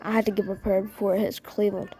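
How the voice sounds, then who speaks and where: Woman playing a jittery young woman, mellow voice, worried short outbursts. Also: mid-range voice, level tone, close to an everyday voice. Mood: anxious. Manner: worried, quick exclamations.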